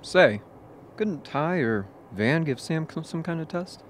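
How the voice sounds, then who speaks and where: A man's voice: on the high side, lively intonation, a little slow. A man playing a wry, blunt expedition crewman in a radio play, with a breezy, sardonic way of talking. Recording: faint background noise.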